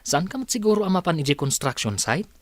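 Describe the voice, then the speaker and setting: A man's voice: high-pitched, sing-song, brisk, no room sound. Easygoing young Filipino man, dry close recording, acted. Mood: evasive. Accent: Filipino (Ilocano).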